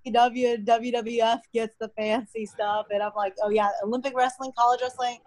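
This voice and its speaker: A young American woman whose first language is Mandarin, telling stories in a relaxed, chatty way as a podcast guest. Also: fairly high pitch, a little fast, no room sound. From United States. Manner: relaxed, chatty storytelling with frequent "like". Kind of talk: casual interview conversation.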